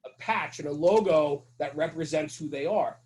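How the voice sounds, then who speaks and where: A man's voice: on the high side, moderate pace, darkish tone, a little hiss or hum. A man speaking as a podcast guest, telling stories conversationally in an earnest, emphatic way with rhetorical check-ins. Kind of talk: conversational storytelling about firehouse culture.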